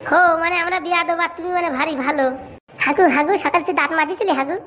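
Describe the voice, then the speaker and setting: Little cartoon school kid, super high piercing voice, fast, cheeky nitpicker. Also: female voice, steady tone, fairly bright tone, a little hiss or hum. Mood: taunting. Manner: pert, correcting, argumentative. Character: small, pert, nitpicking schoolchild who heckles classmates. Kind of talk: comic cartoon dialogue.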